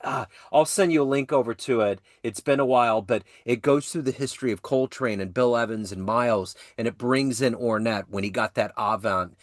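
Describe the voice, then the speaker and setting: A man's voice: medium pitch, fairly quick, hardly any pauses, dry recording, studio quality. A man hosting an interview podcast, asking questions over a call and reacting with enthusiastic affirmations. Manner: effusive, frequent yeah affirmations. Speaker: podcast host. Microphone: video call.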